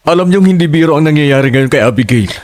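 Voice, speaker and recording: A man's voice: fairly high pitch, expressive, quick pace, darkish tone, natural voice. Guy doing a worried earnest neighbor man, horror radio play, hesitant, dry sound no echo. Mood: troubled. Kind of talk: Tagalog horror audio drama acting.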